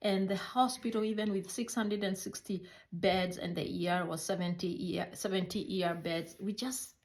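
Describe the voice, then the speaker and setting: A middle-aged woman with a Kenyan accent telling personal stories in a halting, reflective way, searching for words; English is not her first language. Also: medium pitch, steady tone, a little mellow. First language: a Kenyan mother tongue. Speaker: healthcare worker recounting her life. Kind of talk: personal storytelling.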